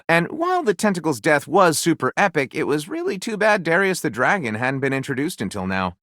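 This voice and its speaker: A theatrical video game storyteller in a dry, close recording. His pitch leaps and dips freely as he delivers pulp fiction narration with grandiose melodrama. The character is flamboyant and enthusiastic, with a playfully sardonic edge. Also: fairly bright tone, no room sound.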